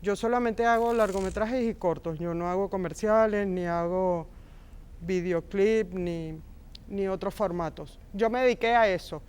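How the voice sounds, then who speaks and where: Passionate woman, smooth clear voice. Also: mid-range voice, a little slow. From Argentina, raised in Venezuela. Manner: warm, passionate, emphatic repetitions. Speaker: film script supervisor, guest. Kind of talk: interview conversation.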